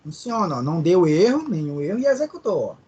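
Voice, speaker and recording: A man's voice: sits high, very animated, quick pace, dark tone, husky. A male teacher with a Maranhão accent, explaining code step by step in a live online class and often checking in with his students. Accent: Brazilian Portuguese, Maranhão. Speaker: programming teacher.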